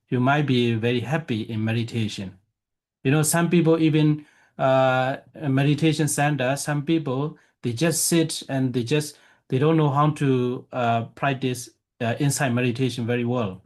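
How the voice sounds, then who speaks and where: A man whose first language is Burmese, a monk teaching a lecture, talking haltingly with many fillers and restarts. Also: medium pitch. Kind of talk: Dharma lecture with questions.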